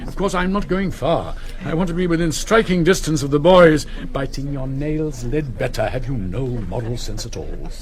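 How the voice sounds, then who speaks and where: An actor playing a pompous, wistful old English headmaster in a stage comedy, speaking in measured, ornate oratory. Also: male voice, mid-range voice, close to an everyday voice. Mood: pompous, dry. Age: older. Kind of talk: British stage comedy acting.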